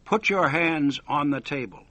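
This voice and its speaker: A man's voice: fairly high pitch, measured pace, pushed and bright, no room sound. A man reading as a curt, mysterious woman medium. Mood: curt, eerie. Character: ugly, cruel-eyed woman spirit medium, curt and mysterious.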